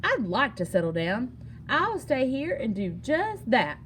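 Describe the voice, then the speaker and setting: A woman's voice: fairly high pitch, very animated, measured pace, fairly bright tone, a little hiss or hum. A woman voicing a friendly, easygoing cowboy with a folksy manner in a children's storybook. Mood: pleased. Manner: folksy, approving. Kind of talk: storybook character dialogue.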